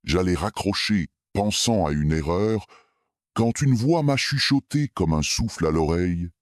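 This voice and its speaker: A man narrating a scripted first-person scary story in a measured, suspenseful way. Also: deep voice, expressive, dry recording, clean studio sound.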